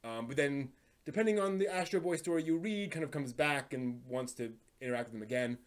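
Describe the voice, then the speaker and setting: A man's voice: medium pitch, brisk. A man hosting a casual live stream about anime, thinking aloud and rambling off on tangents as he chats with viewers.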